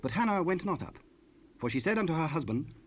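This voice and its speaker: A man narrating scripture in a solemn, measured, formal style for an audio drama. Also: fairly high pitch, big pitch swings, slight background noise.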